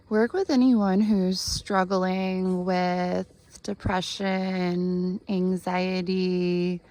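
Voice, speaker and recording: A woman in her thirties guides a meditation with a heavily creaky voice. She speaks extremely slowly, leaving long pauses, and keeps a gentle, earnest tone with little rise and fall in pitch. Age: 30s. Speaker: guided meditation host, healer. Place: outdoors in the woods by a spring.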